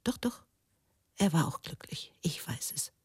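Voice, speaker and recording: A troubled woman in a radio play, intimate and brooding. Her voice is dark and husky, telling the story in a measured way, very dry and close. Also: deep voice, very animated, no room sound, whispered, full character voice. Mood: uneasy, intense. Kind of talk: German radio drama monologue.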